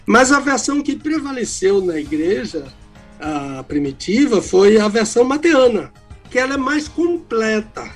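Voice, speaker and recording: An older retired pastor from Salvador, Bahia, with a mixed Brazilian accent, teaching the Bible on radio in a calm, explanatory way. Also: male voice, high voice, measured pace, soft background music. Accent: mixed Brazilian Portuguese, little Bahian.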